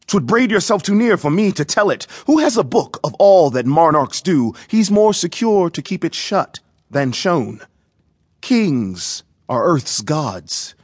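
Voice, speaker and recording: An actor playing a bold, eloquent young prince in a Shakespearean audio drama, speaking in an earnest, declamatory way. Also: male voice, on the high side, sing-song, a little slow, breathy edge, no room sound, studio quality, heavily acted. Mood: guarded.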